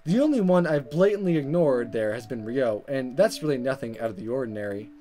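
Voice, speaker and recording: A woman let's player reading a visual novel aloud in expressive narration with informal asides and stumbles over the script. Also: rumbling low, fairly quick. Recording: music behind the voice.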